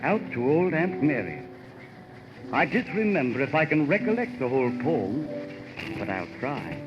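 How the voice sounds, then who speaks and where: A man's voice: medium pitch, big pitch swings, music in the background, natural voice. A man playing a proud, folksy old-time Hoosier poetry lover on 1930s radio. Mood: fond, reminiscing. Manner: homespun, rustic, slow recitation. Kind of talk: 1930s radio character acting. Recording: muffled 1930s radio aircheck.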